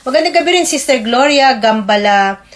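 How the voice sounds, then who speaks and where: A Filipino woman co-hosting a religious radio program, giving prayers and greetings politely and pausing as she recalls names. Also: high-pitched, lively intonation.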